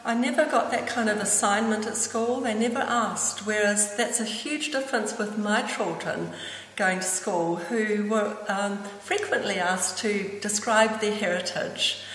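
A woman's voice: medium pitch, unhurried, a bit bright. Older New Zealand woman in an echoey room. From Auckland, New Zealand. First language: Danish. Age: 60s. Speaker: interviewee. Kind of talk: personal reminiscence to camera. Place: indoors, hall-like room with hard surfaces. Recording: echoey reverberant room, clear background noise.

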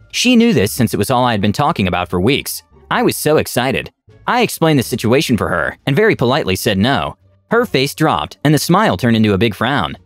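A man reading online stories aloud as scripted narration, with very lively, swooping intonation. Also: mid-range voice, dry recording. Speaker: narrator reading reddit posts. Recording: dry close mic with faint music.

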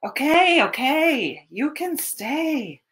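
Small flustered storybook child, played by a woman, very high pitch, exclamatory, grudging, bright. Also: sing-song, drawn-out words, heavily acted. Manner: exclamatory, then grudgingly giving in. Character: flustered small child shooing animals off the porch. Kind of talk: animated children's picture-book read-aloud.

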